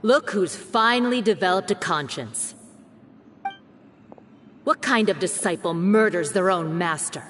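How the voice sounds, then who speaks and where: A woman's voice: lowish voice, lively intonation, measured pace, pauses often, a bit bright, slight background noise. A woman playing a cold, contemptuous and threatening accuser in a video game. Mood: scornful, menacing. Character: cold, scornful, menacing accuser.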